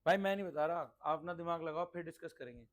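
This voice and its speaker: High-pitched male teacher. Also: quick pace. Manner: instructive, repeats points, checks understanding with questions; mixes in English terms. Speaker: accountancy teacher. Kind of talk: interactive classroom teaching.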